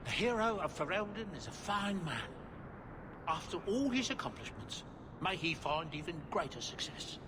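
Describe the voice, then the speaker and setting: High-voiced, florid dwarf merchant. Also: male voice, sing-song, normal speed, noisy background. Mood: modest. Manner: florid, salesman-like politeness. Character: cheerful, courteous dwarf merchant, proud father. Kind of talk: video game voice acting.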